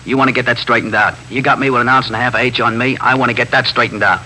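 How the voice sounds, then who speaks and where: An actor playing a nervy, talkative small-time drug pusher in a 1950s radio drama, rambling on in streetwise slang and justifying himself. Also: male voice, mid-range voice, fairly even intonation, very fast, bright, forceful tone. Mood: bargaining. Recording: noisy 1950s radio broadcast recording with hum.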